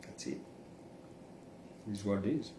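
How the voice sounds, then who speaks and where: A man performs spoken verse in a slow, deliberate way. His manner is informal and blunt, dropping into casual asides between lines. The sound is roomy, with a noticeable echo around the voice. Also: fairly low pitch, dark tone, far from the mic, a little hiss or hum. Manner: informal, blunt, freely swearing. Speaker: podcast host and poet reading own verse. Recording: roomy, echoing room sound.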